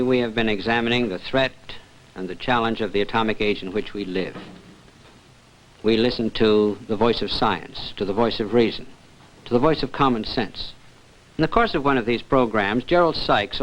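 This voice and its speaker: A man hosting a 1960s television program, giving a formal, scripted introduction in a measured, erudite manner. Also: fairly low pitch. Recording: dull, lo-fi 1960s television sound.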